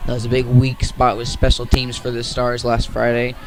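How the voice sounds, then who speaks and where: An American man giving radio play-by-play sports commentary in a measured announcing manner. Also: medium pitch, monotone, background noise.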